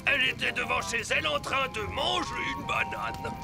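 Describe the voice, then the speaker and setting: High, creaky little cartoon boy. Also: normal speed, loud music behind the voice. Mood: earnest. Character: earnest, forgetful little boy vehicle. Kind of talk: French children's cartoon dub.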